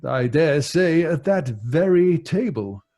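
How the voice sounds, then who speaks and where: An actor with a British accent playing a middle-aged father who tells grim tales in a measured, genial way for an old-fashioned radio drama. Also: on the high side, lively intonation, dark tone. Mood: grave storytelling. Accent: British English.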